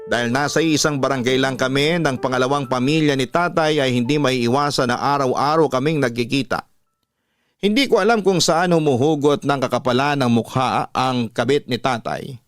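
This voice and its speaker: A man with a Filipino accent narrating a first-person story in a measured way as a radio drama host. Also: medium pitch, no echo. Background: music.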